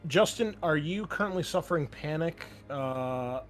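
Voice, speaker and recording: A man's voice: a little high, animated, measured pace. A man running a tabletop roleplaying game as game master, conversationally explaining rules and somewhat hesitantly correcting himself. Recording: background music under the voice.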